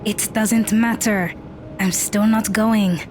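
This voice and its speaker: A woman's voice: mid-range voice, steady tone, takes it slowly, darkish tone, faint music behind, lightly acted. A woman playing a guarded, blunt teenage daughter in an audio drama. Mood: guarded, dismissive.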